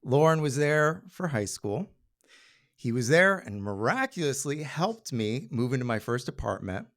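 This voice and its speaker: Man, mid-pitched, fry-heavy creaky voice, live storyteller, personal narrative. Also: big pitch swings, a little slow, a bit bright.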